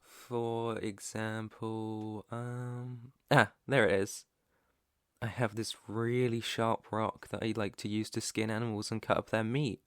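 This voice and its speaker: A British man playing an earnest, protective alpha werewolf in an ASMR roleplay, with a hesitant, stammering, soft-hearted way of talking and a creaky voice. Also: on the low side, little pitch movement, takes it slowly, no room sound, clean studio sound. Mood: friendly, proud. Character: protective young male alpha werewolf, earnest, shy outcast.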